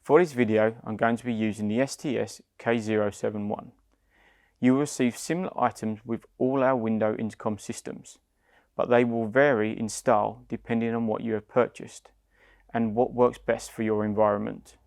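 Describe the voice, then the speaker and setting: A man's voice: fairly low pitch, fairly even intonation, moderate pace, gravelly, darkish tone, dry recording, clean studio sound. A man giving a scripted instructional product narration, explaining plainly step by step. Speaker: company head of client development, instructor.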